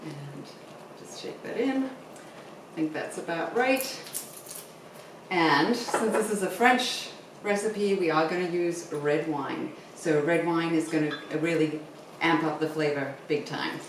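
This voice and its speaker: A woman's voice: low voice, slow. A middle-aged woman from Zimbabwe hosting a cooking demonstration, explaining casually and instructively as she works. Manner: instructive, talking while cooking. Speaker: home cooking show host. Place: home kitchen, indoors. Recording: very echoey kitchen, distant mic, faint noise.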